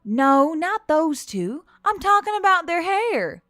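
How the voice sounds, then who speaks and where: A woman's voice: extremely high pitch, sing-song, slow, fairly bright tone, dry recording, studio quality, soft background music, voice pushed up, heavily acted. A woman voicing an eager, curious human woman in an audiobook, full of questions. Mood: exasperated. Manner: eager, inquisitive.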